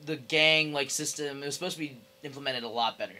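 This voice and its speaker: A man's voice: mid-range voice, fast, pushed and bright. A young man in casual gaming chat with friends, a podcast co-host who talks tentatively with lots of "like". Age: young adult.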